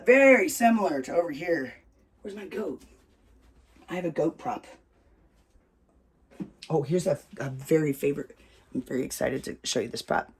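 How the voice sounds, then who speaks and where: An American storyteller telling a family tale with props in a loud, rambling, casual way, swearing freely. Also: mid-range voice, husky. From United States.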